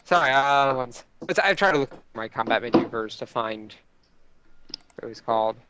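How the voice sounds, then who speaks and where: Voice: medium pitch, expressive, normal speed, highs cut off, background noise. A tabletop game player talking casually through their moves. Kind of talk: casual chat.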